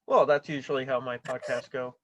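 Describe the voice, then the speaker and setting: A man hosting a podcast and chatting casually, easygoing and a little halting as he talks. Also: medium pitch, fairly even intonation, a bit bright. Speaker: podcast host, interviewer. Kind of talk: casual chat.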